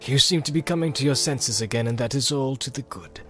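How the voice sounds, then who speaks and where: A man voicing a grim, secretive, world-weary wanderer of the wilds in an audiobook, measured and guarded. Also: mid-range voice, faint music behind, pitched above the performer's own voice, close to an everyday voice. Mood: confident. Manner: measured and guarded, often dropping to a hush. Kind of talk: audiobook character acting.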